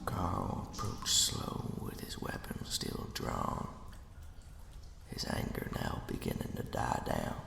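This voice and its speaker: A man voicing the sinister ghost of a murdered henchman, telling a spooky tale in a hushed, folksy, menacing way for an ASMR roleplay. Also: low-pitched, wildly swooping pitch, slow pace, noisy background, exaggerated voice. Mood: ominous storytelling. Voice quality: breathy, gravelly whisper. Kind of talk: ASMR roleplay, scary storytelling. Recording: reverberant, cavernous echo.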